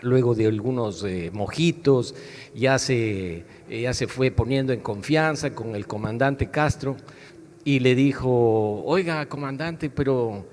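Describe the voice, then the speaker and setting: A man at a book fair panel talks in a reflective, measured way, building up his questions. His voice sits at a middle pitch. The sound carries the long reverb of a large hall. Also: darkish tone. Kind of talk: panel discussion.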